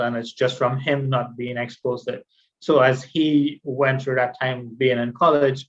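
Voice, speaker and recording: Male presenter, conversational and explanatory, fairly even pitch, slightly muffled lo-fi recording. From Trinidad. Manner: explanatory, conversational, poses questions then answers them. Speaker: guest speaker, mental health presenter. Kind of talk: educational presentation with personal anecdotes.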